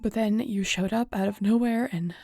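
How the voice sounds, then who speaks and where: A woman's voice: mid-range voice, normal speed, vocal fry, darkish tone, no room sound. A woman playing a troubled, stubborn young werewolf leader in an audio roleplay drama, speaking in an emotional, confessional way. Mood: weary, regretful. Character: troubled female werewolf alpha, hurt, stubborn, self-doubting.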